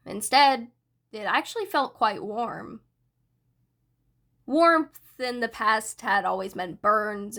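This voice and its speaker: A woman narrating a fan-written story in a soft, unhurried way. Her voice sits a bit high and bright, and she takes her time over each phrase. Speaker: fanfiction reader. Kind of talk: audiobook narration.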